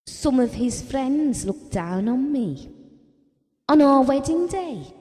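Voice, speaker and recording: An actress playing a gentle, devoted, self-doubting middle-aged British wife and mother, reminiscing softly in an audio drama. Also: high-pitched, very animated, slow pace, full character voice. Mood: hurt, defiant. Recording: reverberant hall-like room.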